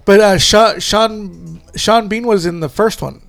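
A man hosting a casual chat, talking in a halting, repetitive way with casual swearing. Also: sits high, creaky, a bit bright. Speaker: podcast host. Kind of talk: casual chat about movies.

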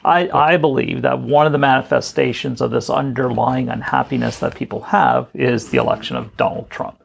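Gravelly-voiced man on a podcast. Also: mid-range voice, steady tone, brisk. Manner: affirming, gives opinions. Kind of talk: casual podcast discussion.

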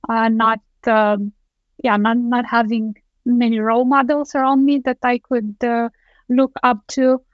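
A woman's voice: on the high side, level tone, measured pace, dry recording. Woman guest on a call. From Romania. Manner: thoughtful, with frequent restarts. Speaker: guest engineering director. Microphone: video call. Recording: dull, dry lo-fi call audio.

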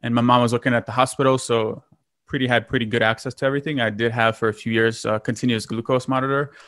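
A man's voice: mid-range voice, fairly even intonation, fast talker, a bit bright, dry recording, studio quality. A man in his late twenties from Lithuania, telling his story in a casual conversation, rambling with many fillers and restarts. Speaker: guest.

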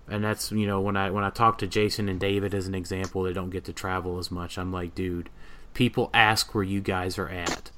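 Bright-voiced man hosting a podcast, background noise. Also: sits low. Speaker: podcast host and competitive gamer. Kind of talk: casual podcast chat. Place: voice recording for a group podcast.

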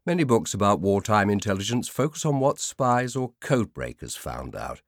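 Low-pitched male audiobook narrator. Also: big pitch swings, moderate pace, no room sound, clean studio sound. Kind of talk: scripted nonfiction book narration.

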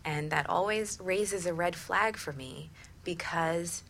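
Woman, low-pitched voice, radio co-host, conversational delivery, faint background noise. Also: slow, vocal fry, fairly bright tone.

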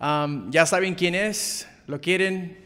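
Guy hosting a chill interview, kinda high voice, halting and repeats words, lots of hall reverb. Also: sharp tone, clean tone. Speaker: interview host. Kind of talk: casual chat interview.

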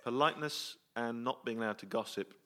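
A man hosting a comic radio show before a live theatre audience, brisk and bemused, often laughing. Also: medium pitch, fairly bright tone, a little hiss or hum. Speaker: host.

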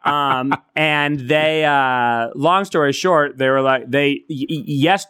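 A man's voice: a little high, takes it slowly, fairly bright tone, clear, resonant tone. A man co-hosting a comedy podcast, telling a story in a rambling, stumbling way. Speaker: podcast co-host. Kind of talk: casual comedic chat.

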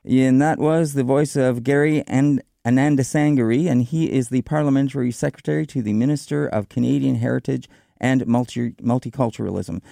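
A man hosting a radio talk show, interviewing a guest in a measured way with some fillers. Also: medium pitch, creaky, mellow, no echo, studio quality. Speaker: radio host. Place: radio studio.

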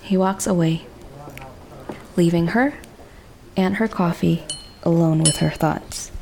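Female narrator for audio drama: unhurried, measured, understated scripted read, slight background noise. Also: fairly low pitch, gravelly, dark tone, rough recording. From Indonesia.

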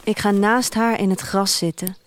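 An actress playing an introspective young woman who narrates her life in a dystopian future, in measured, deliberate first-person literary storytelling. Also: medium pitch, fairly even intonation, close to an everyday voice. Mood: reflective. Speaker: narrator of a radio drama. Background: music.

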